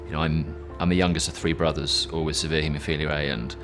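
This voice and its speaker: An English man with a very low, deep voice talks in an earnest, reflective way. Music plays clearly behind him as he speaks in a documentary interview. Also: level tone, fast. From England. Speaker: climber with haemophilia.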